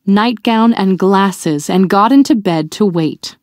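A woman reading a fairy tale aloud to young children in a deliberate, expressive storytelling manner. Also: mid-range voice, no echo, studio quality.

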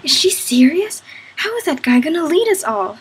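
Woman playing a high-voiced, sensible girl apprentice. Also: big pitch swings. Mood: exasperated. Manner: brisk, instructive, exasperated. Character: sensible, bossy young female magic apprentice. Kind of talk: audio drama acting. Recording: noisy amateur recording.

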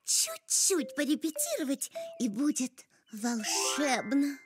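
Caring cartoon girl, high-pitched, very expressive, unhurried, exclamatory, easily exasperated. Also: over music, exaggerated voice. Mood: eager, encouraging. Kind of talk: children's cartoon voice acting.

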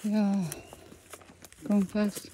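A woman's voice: mid-range voice, monotone, very slow, dark tone, slight background noise. A woman co-hosting an outdoor walking tour of a tree farm, chatty and enthusiastic, with loose, non-standard phrasing. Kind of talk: casual walking tour vlog.

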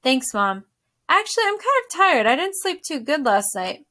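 A woman reader voicing a gentle, earnest young boy asking his mother questions. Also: high voice, big pitch swings, moderate pace, pitched above the performer's own voice, full character voice. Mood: curious.

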